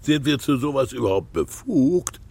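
A man playing a grave, fussy old Christmas gift-bringer, gruff and formal, in a children's radio play. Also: medium pitch, very animated, normal speed, a little mellow, exaggerated voice. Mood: scandalised.

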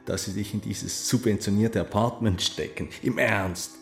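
An actor playing a jovial, condescending career architect in a German radio drama, chummy and patronising. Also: male voice, fairly low pitch, normal speed, vocal fry, soft background music. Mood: hearty, familiar.

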